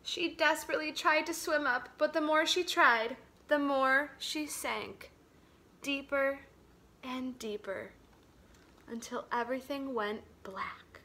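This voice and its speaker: Bright, slow-paced woman reading a storybook. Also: high-pitched. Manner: dramatic, with hushed drops and emphatic swells. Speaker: teacher reading a picture book aloud. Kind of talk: expressive children's storybook read-aloud.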